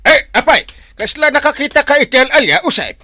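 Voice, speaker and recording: A man's voice: very high-pitched, very animated, fairly quick, pushed and bright, a little hiss or hum, heavily acted. A man with an Ilocano accent playing an easily rattled, blustering village captain in a radio comedy. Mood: startled, blustering. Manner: stammering, blustering, full of interjections. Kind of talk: radio comedy drama acting.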